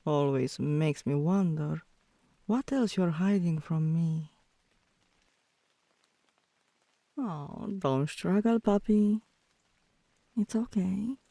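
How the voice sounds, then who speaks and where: A woman voicing a teasing, softly dominant tomboy girlfriend in an intimate ASMR roleplay, with a low voice that turns breathy at times and a coaxing, commanding manner. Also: slow pace, no echo. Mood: fond, teasing.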